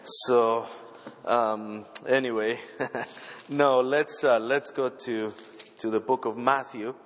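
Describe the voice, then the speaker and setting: A man preaching from a church pulpit, a visiting missionary whose first language is not English. He speaks haltingly, full of fillers and restarts, with self-deprecating asides, at an ordinary pitch for a man. The sanctuary's long reverb trails behind every phrase. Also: steady tone, a bit bright. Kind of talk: sermon.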